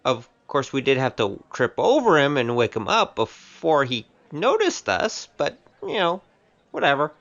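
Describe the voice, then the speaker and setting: Man playing cheeky, lively-voiced young rogue. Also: a little high, a little slow, lo-fi sound, heavily acted. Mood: glib, teasing. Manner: wry, playful, glib. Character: cheeky, easygoing young male wanderer and gambler. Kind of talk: scripted audio drama acting.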